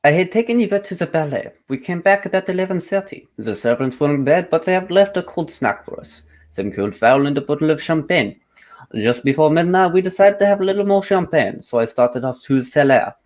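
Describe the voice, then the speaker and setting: A man's voice: on the high side, lively intonation, brisk, darkish tone, natural voice. A man playing a wealthy duke giving testimony in an amateur radio mystery play. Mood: explaining. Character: French duke, wealthy lover recounting his evening.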